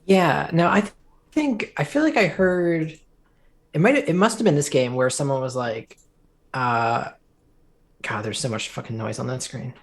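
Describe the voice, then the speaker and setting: A man co-hosting a game development stream, chatting casually with wry, relaxed asides over a video call from a home room. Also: a little high, normal speed. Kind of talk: casual chat during a dev stream.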